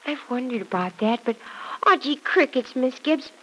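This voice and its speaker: A woman's voice: high voice, animated, moderate pace, sharp tone, a little hiss or hum, rough recording. A woman playing a cheerful, devoted young farm girl working as a housemaid in a classic radio drama, earnest and artless with folksy exclamations. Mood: wistful, earnest.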